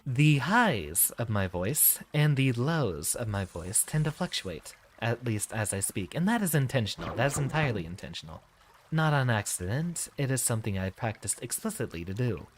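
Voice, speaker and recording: A man livestreaming in a practiced professor persona, speaking from the back of the throat with slight rasp, a soothing feminine lilt, formal wording and sentences that fall at the end. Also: medium pitch, very animated, normal speed, slight background noise. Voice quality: slight fry and raspy gravel on vowels; voice placed at back of throat, raised above natural register. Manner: sentences swoop up and down, dropping at the end. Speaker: game streamer.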